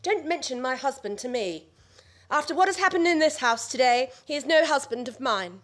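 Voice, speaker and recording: Shrill, indignant farce wife. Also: female voice, lively intonation, moderate pace, a bit bright. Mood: haughty indignation. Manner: haughty, theatrical, exclamatory. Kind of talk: radio play farce acting.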